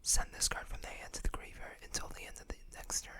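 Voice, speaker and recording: An ASMR host whispering in an unhurried way, reading text aloud and adding small asides. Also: sharp tone. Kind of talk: whispered ASMR card showcase.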